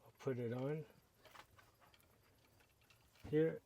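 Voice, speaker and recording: A man's voice: fairly high pitch, very slow, muffled recording, slight background noise. A man casually narrating a product review, explaining each step as he works with his hands. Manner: relaxed, step-by-step explaining while working with hands.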